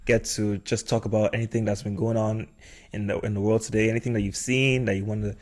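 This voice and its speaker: A man's voice: lowish voice, little pitch movement, fast. A young adult man hosting a podcast in a casual conversational style. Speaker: podcast host.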